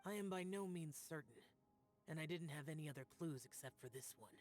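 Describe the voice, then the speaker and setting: A man's voice: a little high, a little fast, natural voice. A man voicing a solemn, aloof young immortal demon-slayer in a fantasy game, speaking in a grave, guarded, restrained way. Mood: grave, resolute. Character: solemn, aloof young male immortal demon-slaying warrior burdened by karma. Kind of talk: scripted fantasy game acting. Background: background music.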